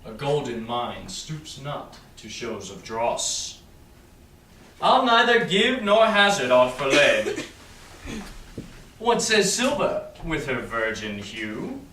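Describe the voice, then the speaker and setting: Guy acting proud self-assured shakespeare prince, big swings in pitch, declaims, distant mic with faint noise. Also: very animated, bright, forceful tone, roomy sound, full character voice. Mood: proud, confident. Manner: declamatory, deliberating aloud with weighty pauses. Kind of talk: Shakespearean verse, staged reading.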